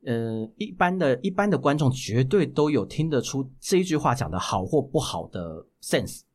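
A man with a Taiwanese Mandarin accent talks as a podcast guest. His mid-pitched voice swings up and down in lively fashion. He explains his points in a casual way, often repeating and rewording a phrase to stress it. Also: moderate pace. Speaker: guest, voice actor.

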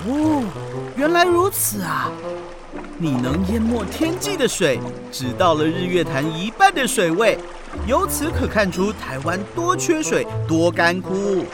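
A woman with a Taiwanese Mandarin accent playing an excitable, cheeky school child in a children's radio drama, theatrical and exclamatory. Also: mid-range voice, unhurried, over music. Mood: explanatory.

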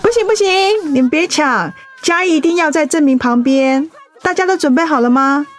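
An actress playing a warm, reassuring close friend in a radio drama, expressive and encouraging. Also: female voice, sits high, normal speed, some chatter behind, slight background noise. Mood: cheerful.

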